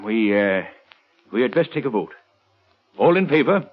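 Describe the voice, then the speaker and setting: A man's voice: medium pitch, expressive, measured pace, breathy edge. An actor playing a formal opera board chairman, officious, hesitant and placating, in 1930s radio drama style. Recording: tinny, band-limited old radio recording.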